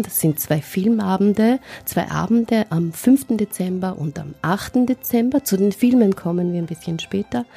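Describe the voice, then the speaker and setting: Lady hosting a radio show in the studio, mellow husky voice, formal, bit of music under. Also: mid-range voice. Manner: formal, measured introductions. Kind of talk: radio talk show moderation.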